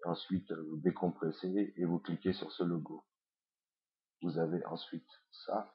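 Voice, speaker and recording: Guy with a cold doing a tutorial, low husky voice, lots of euh, muffled cheap mic. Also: flat delivery, normal speed. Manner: hesitant, many fillers. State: has a head cold. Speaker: online course instructor. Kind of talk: screencast tutorial.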